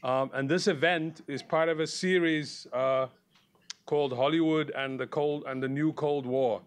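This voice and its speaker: A man's voice: a little high, steady tone, unhurried. A man from South Africa, a faculty host, introduces a film screening to an audience, projecting his voice because the mic is not working and speaking loosely off the cuff with restarts. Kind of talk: casual talk. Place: large lecture hall. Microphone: far room mic. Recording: echoey lecture hall, far from mic, noisy.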